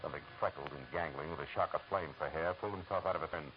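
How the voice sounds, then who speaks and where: Sardonic American private eye, low voice, rapid clipped delivery, muffled vintage radio drama. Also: male voice. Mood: wry narration. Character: hard-boiled, wry male private detective narrator. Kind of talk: old-time radio detective drama, first-person narration. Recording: muffled, noisy old radio recording.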